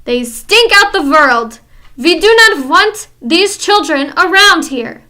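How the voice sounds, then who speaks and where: A woman's voice: very high voice, lively intonation, slow pace, sharp tone, voice pushed up, exaggerated voice. A woman voicing a tyrannical female witch leader who screams and rants her commands. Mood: furious. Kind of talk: audiobook character reading.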